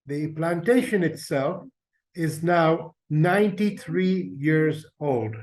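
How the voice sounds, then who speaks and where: An older man from Uganda speaks as a guest presenter in an online session. His voice sits slightly high, and his delivery is earnest and measured, like unhurried storytelling. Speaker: invited speaker, company representative. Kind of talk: webinar presentation.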